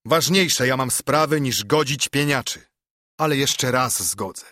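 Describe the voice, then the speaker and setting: A man's voice: mid-range voice, a little slow, pushed and bright, no room sound, clean studio sound. A man voicing a brooding, urgent monk who admonishes and reasons earnestly, in a dramatised verse audiobook. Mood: scolding. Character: brooding, urgent monk, scolding and pleading with a friend.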